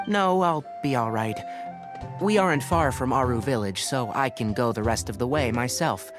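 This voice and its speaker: A man voicing a level-headed young scholar in an anime-style video game, articulate, matter-of-fact and gently chiding. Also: medium pitch, moderate pace, over music, natural voice. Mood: weary, practical.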